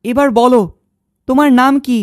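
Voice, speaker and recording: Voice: high voice, fairly even intonation, normal speed, clean tone, no room sound, studio quality, lightly acted. An actor voicing a bossy, precocious little village boy in a cartoon, self-assured and threatening. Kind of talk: cartoon dialogue acting.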